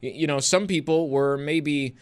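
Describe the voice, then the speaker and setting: A man co-hosting a radio talk show with casual, joking banter. His voice sits a little high for a man. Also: clean tone. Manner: joking, quick, laughs between lines. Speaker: radio host.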